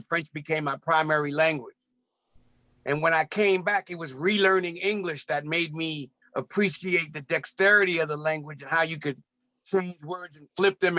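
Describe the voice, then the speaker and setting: Interview guest, male poet-musician, higher bright voice, measured rhythmic delivery, dry sound. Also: no echo, rough recording. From United States, raised in Ethiopia. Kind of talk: reflective conversational interview.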